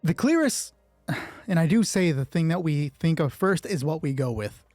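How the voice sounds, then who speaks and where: A man with a slightly high voice runs a solo tabletop roleplay as its game master. He shifts between clipped, scripted narration and loose, informal play commentary. The sound is dry and close, with music underneath. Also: lively intonation, normal speed, darkish tone, no room sound. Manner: informal, swearing, thinking aloud during play. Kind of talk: hushed scripted story narration alternating with casual game commentary.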